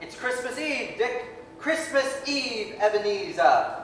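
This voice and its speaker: A man's voice: very high voice, lively intonation, a bit bright, full character voice. A man playing a hearty, jovial old master who calls out expansively in a staged 1930s-style radio play. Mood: jolly, rousing. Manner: hearty, exclamatory, drawn-out calls. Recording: echoey hall, distant, faint noise.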